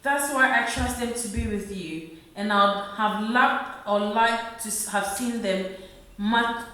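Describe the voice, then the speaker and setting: Radio drama: actress as gentle working-class mum, worried, higher-pitched, heard in echoey room. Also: female voice, slow pace. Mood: grateful, sorrowful. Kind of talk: radio play acting. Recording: echoey room, far from mic.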